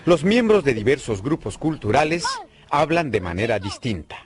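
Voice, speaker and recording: A man giving clear, scripted educational narration. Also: medium pitch, sing-song, moderate pace. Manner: clear, explanatory.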